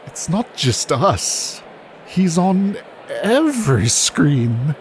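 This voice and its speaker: A prim, unflappable majordomo in an audio drama. The voice is fairly high and extremely unhurried, with lively pitch and arch, mocking sarcasm. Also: male voice, a little hiss or hum, exaggerated voice. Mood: dry sarcasm. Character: droll, prim major-domo servant, archly sarcastic and unflappable.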